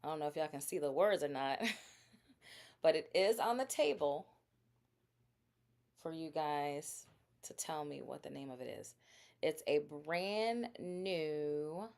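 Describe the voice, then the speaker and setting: A woman's voice: low-pitched, takes it slowly, pauses often, darkish tone. A woman hosting a casual live sales stream, talking directly to her viewers and quizzing them on her products. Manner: chatty, addresses viewers directly, asks quiz questions. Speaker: livestream host selling bath products.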